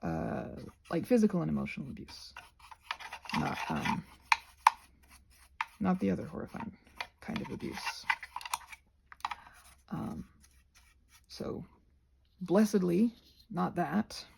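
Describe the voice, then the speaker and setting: A woman chatting in a casual, rambling ASMR style, halting and self-interrupting, often slipping toward a whisper. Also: fairly low pitch, very animated, gravelly, very noisy. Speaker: host of a craft ramble video.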